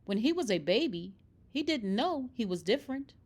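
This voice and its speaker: A woman reading a children's picture book aloud in expressive, scripted narration. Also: fairly high pitch, moderate pace. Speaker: storybook reader.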